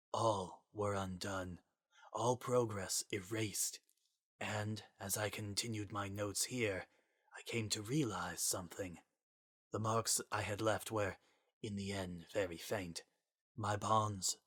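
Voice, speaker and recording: A man voicing a regretful, resigned scientist, speaking formally and quietly in measured, grave phrases for a story reading. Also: lowish voice, fairly even intonation, slightly rough. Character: solemn, regretful male scientist, formal and resigned, lost to the world.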